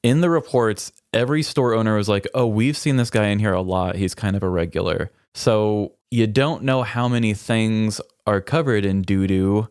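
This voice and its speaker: A man's voice: lowish voice, normal speed, few pauses, a little mellow, smooth, no room sound, studio quality. A man co-hosting a podcast in casual, humorous chat, telling stories playfully and quoting others as he goes. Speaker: podcast co-host.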